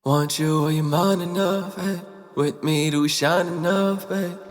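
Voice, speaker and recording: A man singing soft R&B lines with heavy auto-tune. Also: high-pitched, fairly even intonation, a little slow, soft background music. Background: his own backing beat.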